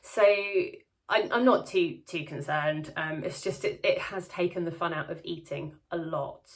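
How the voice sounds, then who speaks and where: A woman talking to her camera in a casual diary vlog. Her voice sits a little low, and a slight lisp shows on some words. She rambles warmly along, full of ums and restarts. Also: measured pace. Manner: chatty, many ums and restarts. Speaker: vlogger. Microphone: camera close-up.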